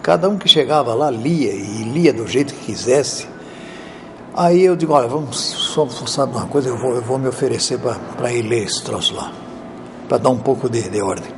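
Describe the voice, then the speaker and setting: A man, a radio veteran, telling an anecdote in an interview with lively, colorful storytelling. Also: mid-range voice, moderate pace, background noise.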